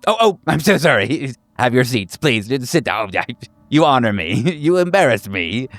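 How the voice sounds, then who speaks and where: A man voicing a fawning, sinisterly earnest group therapy doctor in a tabletop game, halting and repeating himself emphatically with nervous chuckles. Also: mid-range voice, sing-song, dry recording, pitched above the performer's own voice. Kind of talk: theatrical group-session address.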